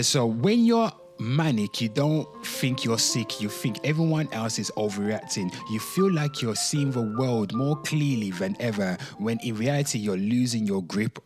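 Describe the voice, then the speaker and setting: A man hosting an online show, giving a dramatic reading with off-the-cuff commentary, drawn-out exclamations and asides to his listeners. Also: medium pitch. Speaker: host of an online pop culture show. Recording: music playing under the voice. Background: music.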